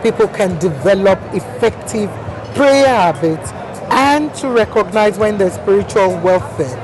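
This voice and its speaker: A woman's voice: mid-range voice, expressive, measured pace, over music, a crowd in the background. A woman talk show host interviewing a guest at a mall expo, effusive and full of praise. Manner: effusive, says "wow" and "blessed" often. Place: busy shopping mall expo. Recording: noisy background ambience.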